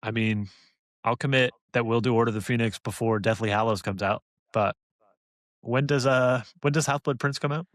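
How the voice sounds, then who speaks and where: A man's voice: mid-range voice, steady tone, normal speed, dry recording. A man hosting a podcast in casual chat, with a joking, playfully teasing manner. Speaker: podcast host.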